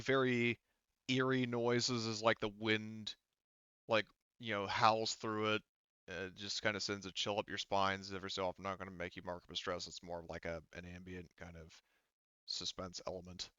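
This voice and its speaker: A man with a fairly low, clean voice acts as game master at a tabletop session. He improvises descriptions conversationally, stopping and rewording as he goes. The sound is close and dry, a little dull. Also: a bit bright, smooth, no echo. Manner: halting, conversational, often rephrasing mid-thought. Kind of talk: improvised tabletop game narration and casual rules talk.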